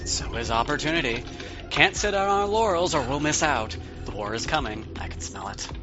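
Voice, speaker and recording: Man playing an impatient, higher-voiced corporate schemer. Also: animated, normal speed, close to an everyday voice. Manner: brusque, profane. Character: impatient, greedy, foul-mouthed corporate schemer and opportunist. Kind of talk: scripted audio drama acting. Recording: slightly dull lo-fi recording. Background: music, keyboard typing.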